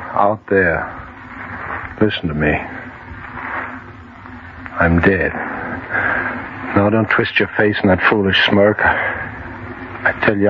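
A haunted man gripped by fire, intense and dramatic in the manner of 1940s radio horror. His voice is on the low side, on a muffled broadcast thick with noise. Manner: dramatic, urgent, pleading. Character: dead man narrating his tale, intense, obsessed with fire.

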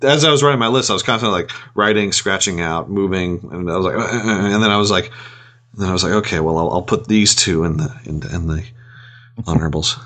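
A man co-hosting a casual podcast chat, talking in a halting way with many repeated words. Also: deep voice, fairly bright tone. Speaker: podcast co-host.

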